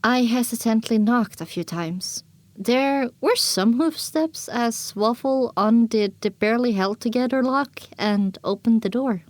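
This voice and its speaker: A woman narrating a first-person story as an audiobook, expressive and dramatic, on a close microphone. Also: on the high side, unhurried, no echo.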